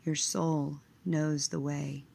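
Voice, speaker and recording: A woman leading a scripted guided meditation in a measured, hushed manner, letting her phrase endings trail away. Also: deep voice, little pitch movement, gravelly, husky.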